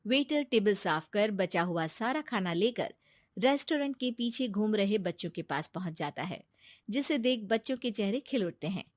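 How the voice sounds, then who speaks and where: A woman's voice: mid-range voice, expressive, normal speed, no echo, clean studio sound. A woman narrator reading a scripted cartoon moral story.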